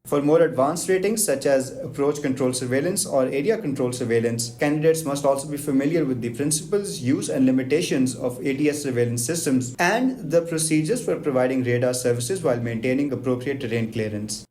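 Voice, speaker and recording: A man giving a scripted educational narration in a beat-the-clock format, reading technical lists in a brisk, even way. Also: medium pitch. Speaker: aviation explainer host.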